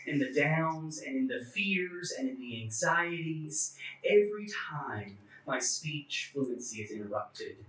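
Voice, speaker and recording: Male clergy speaker, fairly high bright voice, slight stutter, measured phrasing, personal testimony sermon delivered in a roomy space. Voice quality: stutter, occasional broken fluency. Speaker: clergy member giving a talk. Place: echoey indoor church forum space. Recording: echoey room, distant microphone.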